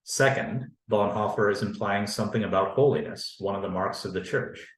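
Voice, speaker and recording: A man from Canada with a low voice, a theologian giving a measured prepared talk on a panel. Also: roomy sound, low-quality recording. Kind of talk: prepared lecture.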